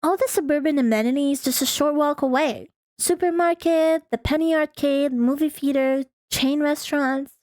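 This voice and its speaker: A woman's voice: high voice, normal speed, a little mellow, no room sound. A woman streaming a visual novel, reading the text aloud and breaking into playful, casual asides. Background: faint music.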